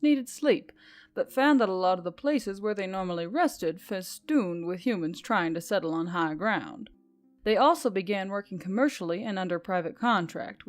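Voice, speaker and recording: Woman narrating fiction over music. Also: medium pitch. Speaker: audiobook narrator.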